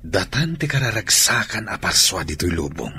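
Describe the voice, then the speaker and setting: An actor playing a tender young man in love in an Ilocano radio drama, with a low, murmuring delivery. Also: very animated, moderate pace, sharp tone, slight background noise, exaggerated voice.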